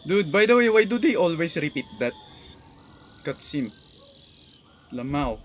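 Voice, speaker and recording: A man giving casual gameplay commentary, halting as he thinks aloud. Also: fairly high pitch, very animated, slight background noise. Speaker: game streamer. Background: game music.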